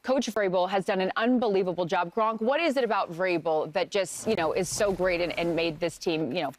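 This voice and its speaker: A woman's voice: on the low side, no room sound. A woman hosting a live football pregame show, teasing the panel and introducing segments. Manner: quick, teasing banter. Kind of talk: live studio sports talk.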